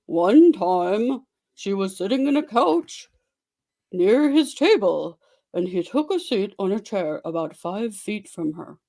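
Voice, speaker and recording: Performer as campy romance-novel alter ego. Deliberate, unhurried dramatic reading, lively swooping intonation, tongue-in-cheek. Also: medium pitch. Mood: mock romantic. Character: Fabio Toadface, mock romance-novel author alter ego.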